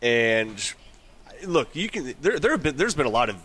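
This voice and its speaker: A man's voice: mid-range voice, very animated, normal speed, fairly bright tone. A man with an American accent giving blunt, slangy, opinionated sports talk as a co-host, in a noisy on-location recording. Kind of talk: casual, opinionated sports chat. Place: on location at a golf tournament.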